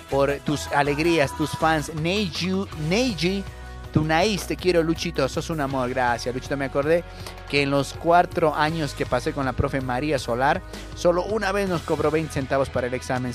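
A young man with a Bolivian accent, hosting a radio show with casual, joking, informal comic talk. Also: fairly high pitch. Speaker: radio host and comedian. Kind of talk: casual comic radio monologue, reading listener messages.

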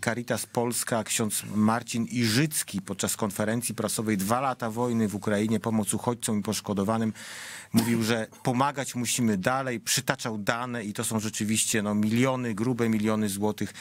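A man's voice: lowish voice, fairly even intonation, moderate pace, no room sound. Man hosting a TV panel. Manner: long probing questions with restarts. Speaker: TV talk show host. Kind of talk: moderating a panel discussion. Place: TV studio. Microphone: studio mic.

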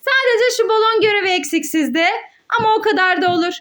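A young woman playfully hosting a children's game as a mother, encouraging and coaxing in an animated, sing-song way. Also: very high-pitched, brisk.

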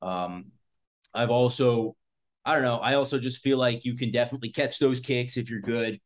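A man's voice: medium pitch, moderate pace. A man of thirty-six, a self-defense instructor, talking casually and bluntly to camera, swearing often and rambling with lots of fillers. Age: 30s. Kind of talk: casual unscripted talk to camera. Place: small indoor room in front of a backdrop.

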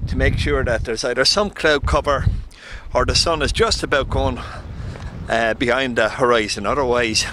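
A man's voice: medium pitch, moderate pace, sharp tone, a little hiss or hum. A man giving an informal, explanatory guide to locations as the host of a photography vlog.

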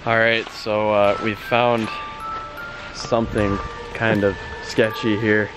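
A man's voice: on the low side, flat delivery, slow pace, music in the background, rough recording. A young man vlogging casually to a handheld camera at night from inside a car. He talks nervously, with lots of fillers. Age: young adult. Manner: chatty, a bit nervous, many fillers. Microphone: handheld camera at arm's length.

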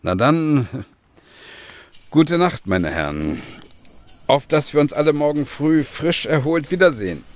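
A man's voice: on the high side, sing-song, measured pace, long pauses. A man acting in an audio drama as a weary, hunted but unrepentant husband, earnest and courteous in manner.

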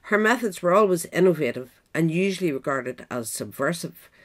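A woman's voice: lowish voice, lively intonation, normal speed. A woman narrator reading a scripted biographical essay aloud. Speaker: narrator, reader.

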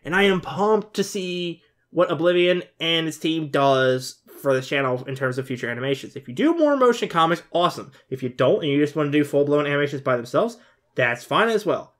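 A man's voice: fairly high pitch, expressive, fairly quick. A man in his twenties giving casual, rambling, informal off-the-cuff commentary as a reaction video host. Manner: rambling, informal, mild swearing. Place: bedroom, indoors.

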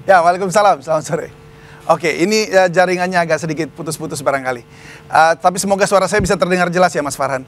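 A man's voice: sits high, fast talker. A man hosting a live TV news dialogue, politely introducing guests and putting questions, with frequent "eh" fillers. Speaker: news anchor. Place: TV studio.

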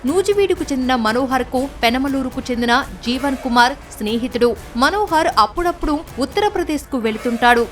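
A woman's voice: sits high, recorded from a distance. A woman reads scripted TV news narration as a reporter.

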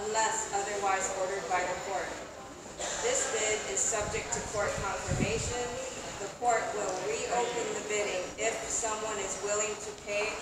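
A young woman reads out auction terms in a formal, measured voice, standing in an open covered plaza. She is caught by a camera some way off, so her voice rings with strong echo and a long tail. Steady noise sits under her words. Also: medium pitch. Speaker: foreclosure auction commissioner. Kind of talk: reading legal auction terms aloud. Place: outdoor covered plaza of a building. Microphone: far camera on a tripod. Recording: echoey distant outdoor camera audio.

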